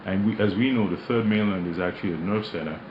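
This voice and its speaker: A man with a deep, imposing voice, a managing director answering questions in a radio interview, measured and hesitant with many fillers. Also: reverberant, lo-fi sound, noisy background. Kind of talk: radio interview, relaxed business talk. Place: radio studio.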